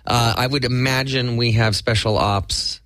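A man's voice: medium pitch, fairly even intonation, unhurried, fairly bright tone, no echo. A man co-hosting a casual chat, musing and hesitant as he speculates. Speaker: podcast co-host.

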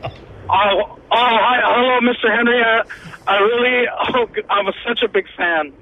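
A man's voice: very high voice, measured pace, narrow, tinny audio, slight background noise. A man making a prank call to a radio show, stammering and acting like a nervous first-time caller.

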